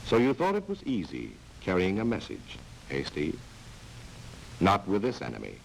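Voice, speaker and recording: A man's voice: low-pitched, wildly swooping pitch, normal speed, gravelly, heavily acted, rough recording. An actor narrating a dramatized 1940s training film as a stern, mocking man who addresses a careless spy directly. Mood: mocking, reproachful. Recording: hissy old 1940s film soundtrack.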